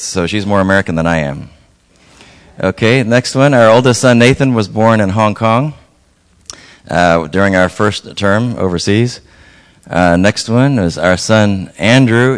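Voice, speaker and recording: A middle-aged man from Lebanon, a missionary, speaking at a church in a halting, folksy way with many fillers. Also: deep voice. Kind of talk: church talk with slides. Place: church sanctuary.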